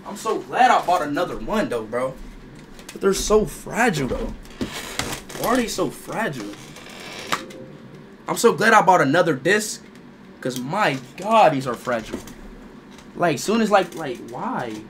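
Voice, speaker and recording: A young man livestreaming a game, excitable and loud, talking straight to his chat and repeating himself. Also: fairly high pitch, a little slow, long pauses, a little hiss or hum. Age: young adult. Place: bedroom streaming setup, indoors.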